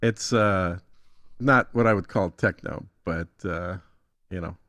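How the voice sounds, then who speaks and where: A man's voice: sits low, slow pace, a bit bright, dry recording. A man giving a casual, conversational tutorial as a guest, thinking aloud in an easygoing way. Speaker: guest demonstrating an AI music tool.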